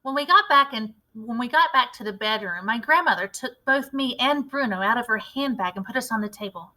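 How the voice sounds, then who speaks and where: A woman reads a story aloud as a teacher would. Her voice is bright and fairly high, with expressive storybook narration. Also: fairly quick, rough recording.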